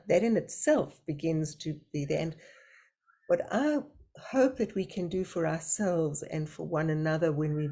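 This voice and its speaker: Soft-spoken woman facilitating a discussion. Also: low-pitched. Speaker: facilitator of an online group discussion. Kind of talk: reflective group discussion. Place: video call.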